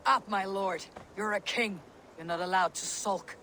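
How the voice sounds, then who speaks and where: A woman's voice: medium pitch, a bit bright, slight background noise. A woman playing a dutiful Viking warrior who speaks firmly and plainly, commanding a sulking king to come home. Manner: firm, plain-spoken, commanding. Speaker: game protagonist. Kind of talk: video game cutscene acting.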